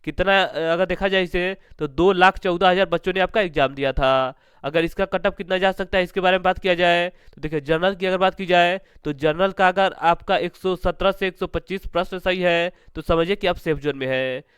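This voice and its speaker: A man's voice: sits high, steady tone, fairly quick, few pauses, a bit bright, smooth, no room sound, clean studio sound. A man presenting information to students as an exam-prep host, in a repetitive, formulaic way, asking questions and answering them himself.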